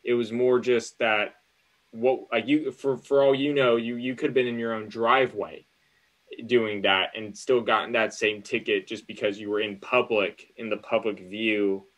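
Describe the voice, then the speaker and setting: A man's voice: lowish voice, steady tone, a bit bright, low-quality recording. A man in his thirties with an American accent, a lawyer thinking aloud as he chats casually and gives hedged advice. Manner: halting, hedging, thinking aloud. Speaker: lawyer answering call-in questions. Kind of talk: casual legal advice chat on a livestream. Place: indoors in front of a green screen, on a video call.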